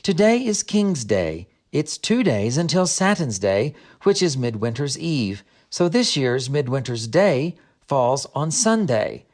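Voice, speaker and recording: A man's voice: medium pitch, very animated, slow pace, few pauses, a little mellow. A man voicing a friendly woman guide in an audiobook story, patiently explaining things. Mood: patient, instructive. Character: Greta, friendly woman guide explaining her land's customs. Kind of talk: audiobook character dialogue.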